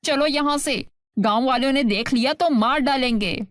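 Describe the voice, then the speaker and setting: Woman as a very high-voiced greedy sidekick. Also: moderate pace, a bit bright, no room sound, studio quality. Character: greedy villager, eager sidekick to a schemer. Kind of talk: cartoon story voice acting.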